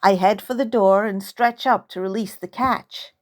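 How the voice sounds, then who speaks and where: A woman's voice: medium pitch, moderate pace. A woman narrating a first-person story as its author, in a dramatic, suspenseful style. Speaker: author reading own novel.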